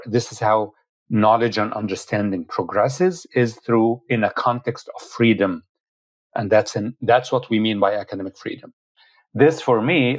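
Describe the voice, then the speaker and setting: A man, a professor whose first language is Arabic, firmly explaining his views as a guest in an interview. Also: lowish voice. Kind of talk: interview answer.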